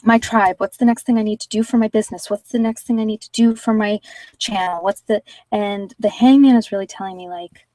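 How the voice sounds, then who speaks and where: A woman's voice: medium pitch, fairly even intonation, brisk, warm tone, no room sound, recorded from a distance, low-quality recording. A woman giving casual live tarot readings to viewers, talking informally and thinking aloud as she goes. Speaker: tarot reader and channel host.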